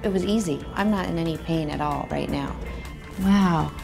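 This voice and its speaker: A woman's voice: low voice, normal speed, gravelly. A woman in her forties giving a plain-spoken testimonial. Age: 40s. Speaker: client testimonial. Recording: music behind.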